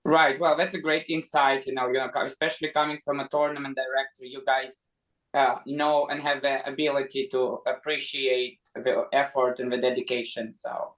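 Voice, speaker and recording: A man co-hosting a casual podcast interview, speaking haltingly as he searches for words. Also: mid-range voice, little pitch movement, fairly bright tone, low-quality recording.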